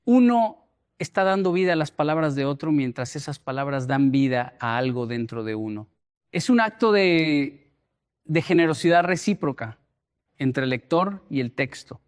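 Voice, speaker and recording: A man speaking as a motivational speaker, deliberate and emphatic, repeating phrases for effect as he explains and tells stories. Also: a little high, animated, clear, resonant tone. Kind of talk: motivational talk with storytelling.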